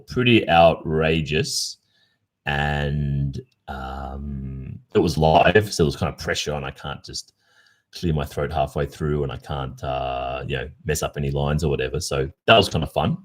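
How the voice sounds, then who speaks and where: An Australian man hosting a casual livestream Q&A, chatting informally and reflectively. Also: a bass voice. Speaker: ASMR roleplay creator and livestream host.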